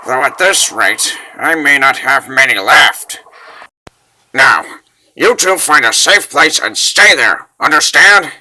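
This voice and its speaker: A man voicing a cocky, grumbling old wizard in a comic dub, gruff and sarcastic. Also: medium pitch, expressive, slow pace, bright, forceful tone, exaggerated voice, rough recording. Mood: wry, bossy.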